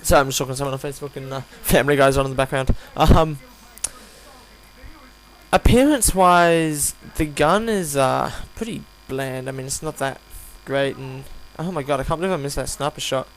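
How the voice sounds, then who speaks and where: A tired man with a croaky voice gives casual, unscripted game commentary, rambling and hesitant as he reviews. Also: medium pitch, normal speed, low-quality recording. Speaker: gaming video host reviewing a weapon. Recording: home recording with audible hum, background noise.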